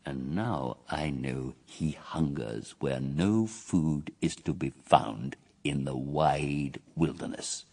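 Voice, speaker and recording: Cunning fallen angel, very deep voice, grand oratory, dramatic swells, set back from the mic, radio verse drama. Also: male voice, slow pace, creaky, hardly any pauses, darkish tone, breathy edge, distant mic, full character voice. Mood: calculating. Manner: grand, oratorical, scheming, sharply swelling and dropping.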